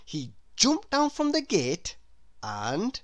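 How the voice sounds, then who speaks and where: A woman reading a children's story aloud in an expressive, deliberate way. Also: mid-range voice. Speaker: storybook reader. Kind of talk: scripted children's story narration.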